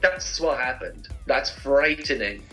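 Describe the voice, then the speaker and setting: Male co-host, bright husky voice, casual comic rambler, soft music behind. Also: mid-range voice, lively intonation, slow, rough recording. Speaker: co-host presenting segments. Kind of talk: casual humorous anecdotes and rambling.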